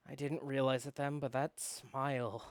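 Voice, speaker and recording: A performer voicing a wounded, wistful visual novel character in a hushed, dramatic way. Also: medium pitch, level tone, moderate pace, no echo, natural voice. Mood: wistful, hurt. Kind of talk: acted visual novel reading.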